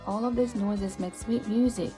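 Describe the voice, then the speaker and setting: A woman reading a children's story aloud expressively, with dramatic emphasis and pauses. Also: medium pitch, steady tone, music in the background. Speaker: storybook reader.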